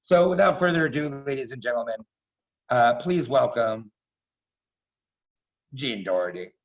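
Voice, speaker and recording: A man's voice: mid-range voice, animated, a little slow. A man introducing a performer as host in a semi-formal, somewhat hesitant way. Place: indoors, remote video call. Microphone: video call microphone. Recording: dull video-call audio.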